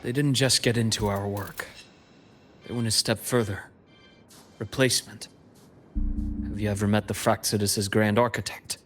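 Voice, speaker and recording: A man playing a composed, cerebral investigator in a game, speaking in measured, deliberate, faintly wry phrases and nursing a quiet old hatred. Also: fairly low pitch, fairly even intonation, natural voice. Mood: grave, measured. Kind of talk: scripted game story dialogue with exposition. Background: background music.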